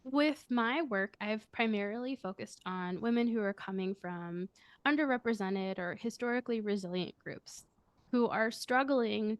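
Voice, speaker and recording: A woman with a high, girlish voice answering interview questions, speaking earnestly and reflectively as a coach who leads a firm. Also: no echo. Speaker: guest, coach and firm leader.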